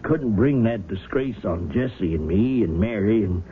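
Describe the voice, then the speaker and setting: An actor playing an earnest, worried small-town husband who speaks haltingly and repeats words in a 1970s radio drama. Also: male voice, on the low side, animated. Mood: anxious, defensive. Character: earnest, troubled small-town husband, loyal keeper of secrets. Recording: muffled, noisy vintage radio broadcast.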